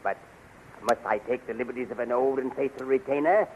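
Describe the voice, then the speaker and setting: A man's voice: medium pitch, fairly quick, muffled recording, close to an everyday voice. Man playing an obsequious old court magician. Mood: obsequious, cautioning. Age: older. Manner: deferential, pompous, insinuating. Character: smooth-talking old male royal magician, obsequious schemer. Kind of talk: 1930s radio serial acting. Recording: noisy 1930s radio recording.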